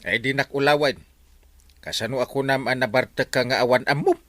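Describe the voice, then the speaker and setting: A man with an Ilocano accent playing an excitable, scolding village captain in a radio comedy, exclaiming and leaping into falsetto. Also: fairly quick, no echo, close to an everyday voice. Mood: incredulous.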